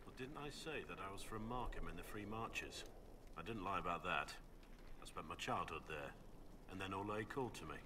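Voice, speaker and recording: A man voicing a brooding, guilt-ridden bearded warrior in a video game, speaking low and gravelly. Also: animated, brisk, background noise.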